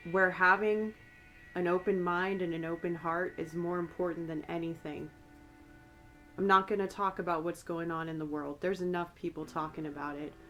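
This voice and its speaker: American woman tarot reader, lowish raspy voice, rambling and gentle, faint music underneath. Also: lo-fi sound. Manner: soft, meandering, conversational. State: slightly raspy from a cold. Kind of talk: ASMR-style channeled tarot reading.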